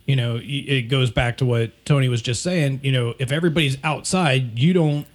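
Raspy-voiced man in casual chat. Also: mid-range voice, a little fast. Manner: rambling, frequent restarts and laughs. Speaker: podcast co-host, DJ.

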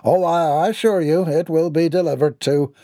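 Audiobook part: elderly postal clerk, deferential and easily rattled, slightly high voice male narrator acting, dry sound. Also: fairly even intonation, normal speed, warm tone, dry recording, natural voice. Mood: nervously polite. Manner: hesitant, stammering, deferential. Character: flustered, polite, silver-haired old male postmaster.

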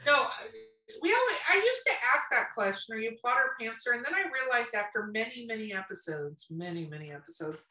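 A woman's voice: fairly high pitch, big pitch swings, brisk, sharp tone, recorded from a distance. A woman podcast host chatting casually on an online call, blunt and opinionated, swearing freely. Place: room, online video call. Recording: echoey room, away from the mic.

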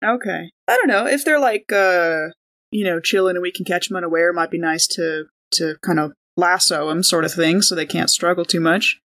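A woman's voice: lowish voice, normal speed, a bit bright. A woman talking casually as a player in a tabletop role-playing game.

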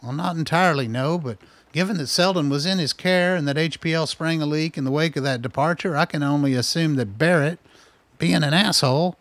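An actor in a radio drama playing a wry, defensive middle-aged scientist who talks in an earnest, exasperated way. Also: male voice, fairly high pitch, fairly quick, a bit bright, dry recording. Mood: insistent. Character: defensive, wry male scientist doctor, anxious and conspiracy-minded. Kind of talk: naturalistic radio drama acting.

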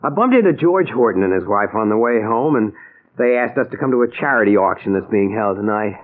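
An actor playing a nervous, scheming husband in a 1940s radio mystery, hesitant and evasive with an undertone of menace. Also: male voice, fairly low pitch, expressive, a little fast, natural voice. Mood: falsely cheerful. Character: nervous, scheming husband hiding a crime, outwardly polite. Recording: tinny band-limited 1940s radio recording.

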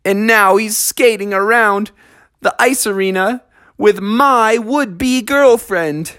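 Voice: medium pitch, slow pace, fairly bright tone, no echo, clean studio sound, voice pushed up. A storyteller voicing an earnest, clumsy young male frog who stammers, pleads and gets frantic. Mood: glum.

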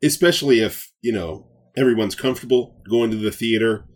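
A man's voice: mid-range voice, brisk. A man co-hosting a podcast in casual chat, emphatic and given to repeating his points for effect. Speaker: co-host of a nerd news podcast.

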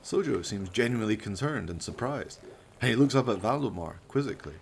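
A man's voice: fairly low pitch, lively intonation, fairly quick, gravelly, slight background noise. A man narrating a scripted radio play in a dramatic storytelling manner.

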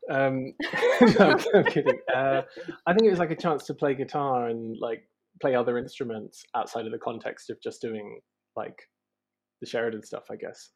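Gravelly, husky young-sounding musician man. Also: mid-range voice, big pitch swings, normal speed. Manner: hesitant, many ums and likes. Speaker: band member, guitarist. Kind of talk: casual interview chat.

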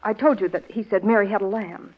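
An actress playing an earnest, shaken young nurse in a 1940s radio drama, speaking hesitantly. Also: female voice, medium pitch, steady tone, quick pace, natural voice. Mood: earnest recalling. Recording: muffled vintage radio recording.

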